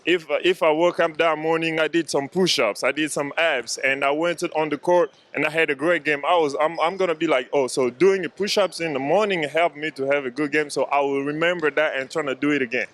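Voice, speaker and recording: A man who plays basketball professionally, giving interview answers in a halting way with many fillers and restarts. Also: fairly high pitch, steady tone, a bit bright.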